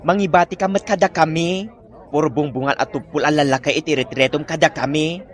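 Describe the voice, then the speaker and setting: Guy as a sassy grumbly salon staffer, ilocano accent, slightly high voice, comedy drama. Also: brisk, close to an everyday voice. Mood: teasing. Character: sassy, grumbling parlor employee.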